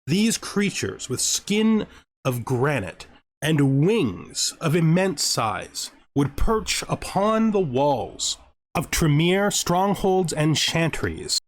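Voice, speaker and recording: A man hosting a gameplay series, speaking as a narrator and commentator. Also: fairly high pitch. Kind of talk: gaming commentary with scripted lore narration.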